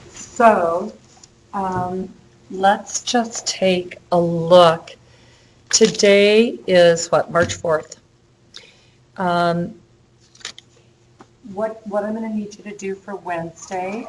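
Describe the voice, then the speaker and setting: A woman college instructor giving a casual, chatty lecture in a classroom while losing her voice. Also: fairly low pitch. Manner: casual, chatty, uses fillers. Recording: echoey lecture room with faint hum.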